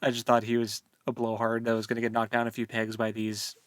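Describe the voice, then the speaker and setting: A man's voice: medium pitch, monotone, quick pace, no echo. Casual man with dry, close sound. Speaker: book discussion podcast co-host. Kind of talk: casual chat about a novel.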